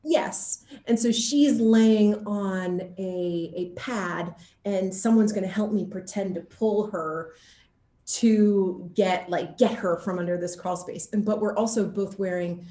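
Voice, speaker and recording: Woman actor chatting in an interview, animated n laughs easily, mid pitch, roomy echo. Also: slow. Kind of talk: casual interview chat, telling anecdotes.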